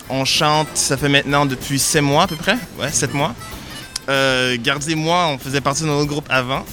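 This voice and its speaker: A young man of twenty-three, a singer and theatre student, talking earnestly with fillers. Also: mid-range voice. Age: 20s. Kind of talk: casual interview.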